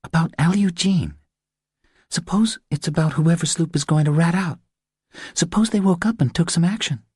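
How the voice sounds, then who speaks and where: A man voicing an earnest, scheming young woman with a hushed, insistent manner in a thriller audiobook. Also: fairly high pitch, normal speed, slightly rough, dry recording, clean studio sound, pitched above the performer's own voice. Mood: urgent, persuasive.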